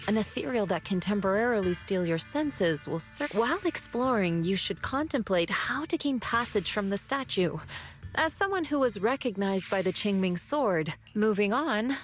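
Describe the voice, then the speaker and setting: A woman voicing a calm, wise female sword master who patiently explains things in a measured way, in a video game. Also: medium pitch, close to an everyday voice. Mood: instructing. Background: faint game music.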